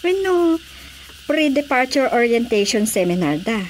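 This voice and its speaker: An actress playing a brisk, businesslike female recruiter with a smooth sales manner and a Filipino accent in a radio drama. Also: on the high side, sing-song, slight background noise, rough recording. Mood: confident.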